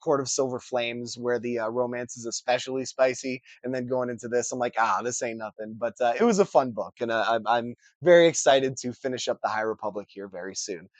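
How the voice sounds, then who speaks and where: A young man, hoarse and scratchy from a cold, chatting casually and upbeat as a book vlogger. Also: brisk, few pauses, no echo. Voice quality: scratchy, deeper than usual. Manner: chatty, upbeat, many uh fillers and restarts. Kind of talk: casual chat to camera.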